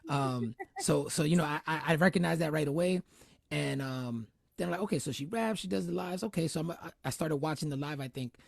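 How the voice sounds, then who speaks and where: A man from New Jersey hosts a relaxed interview, rambling through fillers and self-corrections. He talks fast, and his voice carries a gravelly edge. The sound is dry and close. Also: fairly high pitch, dry recording. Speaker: host. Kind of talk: casual interview chat.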